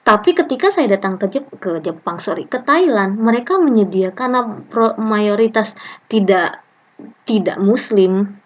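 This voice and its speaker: A woman lecturer chats through an online class, reading out comments and joking along the way. Her voice sits at a middling pitch. The sound comes through a dull, lo-fi call line. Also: expressive, moderate pace. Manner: chatty, reads student comments aloud, jokes and laughs. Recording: muffled lo-fi online call audio.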